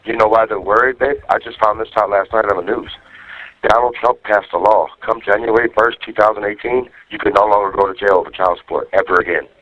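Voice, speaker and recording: A man's voice: fairly low pitch, fairly even intonation, a little fast. A man talking casually in slangy, coaxing phrases over a recorded jail phone line, as an inmate calling out. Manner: slangy, profane, cajoling. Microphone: telephone.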